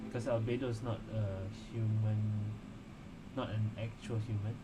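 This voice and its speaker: A man casually commenting as a gamer on a story playthrough, halting and thinking aloud. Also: lowish voice, little pitch movement, very noisy. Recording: loud game music behind voice. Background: game music and dialogue.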